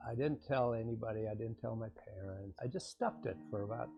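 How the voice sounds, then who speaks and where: A man in his eighties giving a halting, subdued personal testimony. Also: lowish voice, gravelly. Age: 80s. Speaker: testimony speaker. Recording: dull sound with music behind.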